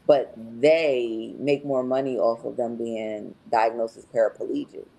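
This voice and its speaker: A woman's voice: very deep voice, steady tone, unhurried, vocal fry, warm tone, poor audio. A woman speaking as a podcast guest about her husband's recovery, explaining in an earnest, reflective way with frequent "um" and "right?". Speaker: podcast guest, patient's wife. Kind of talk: casual podcast testimonial.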